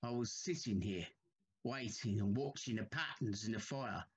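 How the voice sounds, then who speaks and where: A man playing a troubled railway signalman in an audio drama, haunted and deferential, speaking haltingly. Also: fairly low pitch, slightly rough. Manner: slow, halting, deferential, uneasy.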